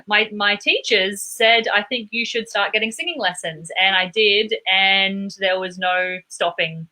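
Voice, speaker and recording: Australian woman guest on a video call, measured and thoughtful, bright tone. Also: mid-range voice. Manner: thoughtful, measured, with small restarts. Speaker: guest, performance psychologist. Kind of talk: casual video-call interview.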